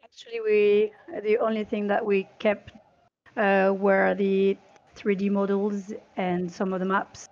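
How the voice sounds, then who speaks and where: A woman in her thirties chatting casually on a panel through a headset, in animated, broken phrases. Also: medium pitch, unhurried. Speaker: game developer, panelist. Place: home room on a video call. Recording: dull compressed video-call audio.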